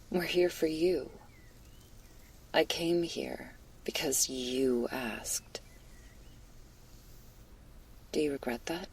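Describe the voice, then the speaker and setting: A woman playing a laid-back, teasing but caring stoner werewolf girl in an intimate ASMR roleplay, breathy and often near a whisper, with casual crude slang. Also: sits low, a little hiss or hum, heavily acted. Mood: gentle, coaxing.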